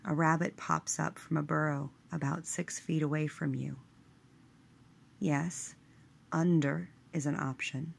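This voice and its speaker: Woman, guided meditation narrator, husky and creaky, unhurried, hushed, measured phrasing. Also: deep voice, fairly even intonation.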